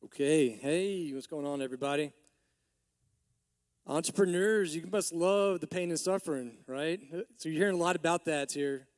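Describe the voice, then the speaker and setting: A man in his forties from Texas, a business owner giving a rambling conference talk with many restarts, his voice a little hoarse from allergies. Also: a little high, moderate pace, no room sound, studio quality. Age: 40s. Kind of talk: conference speech.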